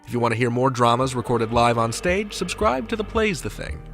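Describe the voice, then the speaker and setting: A man hosting a drama podcast, reading a smooth, scripted narration and outro. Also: mid-range voice, very animated, fairly quick, a bit bright. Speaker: podcast host. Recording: music under the voice.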